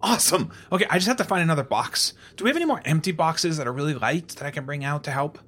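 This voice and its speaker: A woman's voice: sits low, expressive, fast, fairly bright tone. A woman playing a work-shy, anxious space crew member in an improvised sci-fi comedy, stammering and evasive. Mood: eager relief.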